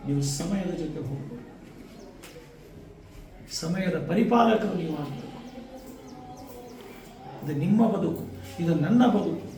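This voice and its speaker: A man giving a motivational speech to students, emphatic, with rhetorical repetition and deliberate pauses. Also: high voice, warm tone. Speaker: guest speaker addressing schoolchildren. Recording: echoey, distant microphone, faint noise.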